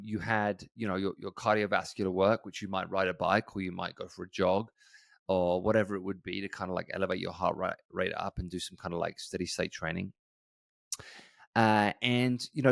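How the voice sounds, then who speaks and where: Relaxed man in his forties, low dry voice. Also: creaky, no room sound. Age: 40s. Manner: rambling, many ums and restarts, conversational. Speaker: gym owner and podcast host. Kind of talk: casual solo podcast talk.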